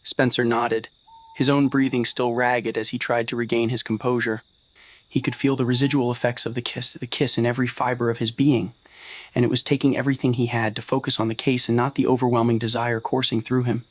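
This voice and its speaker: A man's voice: medium pitch, flat delivery, a little fast. A man reading a scripted romantic roleplay story as narrator, in an ASMR audio style.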